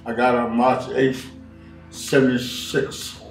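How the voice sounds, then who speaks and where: A man's voice: medium pitch, level tone, takes it slowly, gravelly, far from the mic. A sixty-nine-year-old man sits on a living-room couch answering questions, speaking plainly and coming back to the same point again and again. His voice sits off the mic and rings in the room, with faint music under it. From Washington, D.C. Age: 60s. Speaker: interviewee. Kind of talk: documentary interview. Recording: roomy sound from off-mic.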